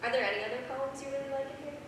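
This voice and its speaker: A woman's voice: high-pitched, rapid-fire, close to an everyday voice. A woman acting a bold, teasing young science student in a staged play reading. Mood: playful, teasing. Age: young adult. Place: small theater stage. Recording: very echoey, noisy theater, far off.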